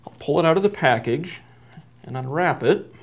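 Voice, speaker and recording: Guy in his 30s doing a plain how-to, gravelly mid voice, bit of background noise. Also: on the high side, animated, normal speed. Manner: plain, methodical explaining. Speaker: repair technician, instructor. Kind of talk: step-by-step how-to demonstration. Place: indoor workshop at a workbench.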